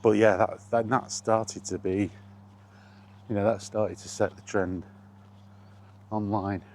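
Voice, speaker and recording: A man's voice: on the low side, little pitch movement, takes it slowly, a little mellow, a little hiss or hum. A British man chatting casually in a wry, colloquial way, like a solo vlogger rambling through his thoughts. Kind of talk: casual rambling chat about gaming.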